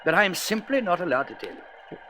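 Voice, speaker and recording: A man's voice: high voice, big pitch swings, a little fast, bright, forceful tone, heavily acted. A man playing a courteous, grave president of a space council in a radio serial, formal and emphatic. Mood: grave urgency. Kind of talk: vintage science-fiction radio serial acting.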